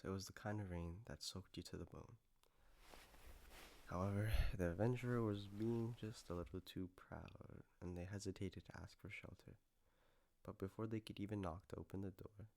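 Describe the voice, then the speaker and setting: Guy playing a sweet caring boyfriend, lowish crackly voice, soft n intimate comfort audio, kinda playful. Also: vocal fry. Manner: tender, soft, halting, playful. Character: gentle, doting male partner soothing a lover after a nightmare.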